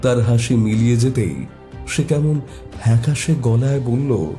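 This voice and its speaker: A man narrating a scripted horror story in a suspenseful, deliberate, dramatic way. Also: mid-range voice, warm tone, faint music behind.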